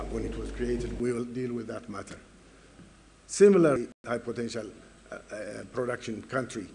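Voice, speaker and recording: A soft-spoken man with a low voice, making formal remarks as a panelist. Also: a little hiss or hum, low-quality recording. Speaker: foundation representative on a panel.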